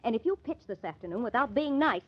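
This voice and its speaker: Sharp-tongued girlfriend, American accent, sarcastic, scolding, slightly high pitch, old radio drama, muffled sound. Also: animated, quick pace. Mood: sarcastic, warning. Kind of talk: old-time radio crime drama acting. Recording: dull old-time radio recording.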